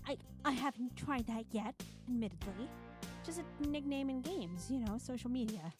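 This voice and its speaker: A woman playing an easygoing young student gamer in a visual novel, relaxed and playfully teasing. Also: fairly high pitch, moderate pace, close to an everyday voice. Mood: easy, teasing. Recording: music playing over the voice.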